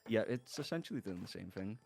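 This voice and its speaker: A man with a Scouse accent and a lowish voice, talking through game sound design as an audio designer on a livestream. His explanations are easygoing and run on in loose, trailing phrases. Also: lively intonation, fast, creaky, a little mellow, faint music behind. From Liverpool, England.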